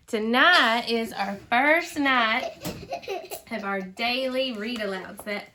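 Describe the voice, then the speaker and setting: A woman reading a children's storybook aloud in an expressive, teacherly way, with chatty asides and questions to a young listener. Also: fairly high pitch, slow pace, a little hiss or hum. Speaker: parent reading a storybook aloud for a school read-aloud.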